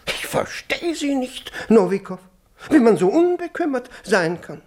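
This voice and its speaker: An older department chief in a radio comedy, pompous and easily alarmed. His pitch swoops widely as he halts, repeats himself and fusses over proper form. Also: very animated, measured pace, darkish tone, full character voice. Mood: indignant, alarmed. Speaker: actor in a radio play. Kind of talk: German radio comedy acting.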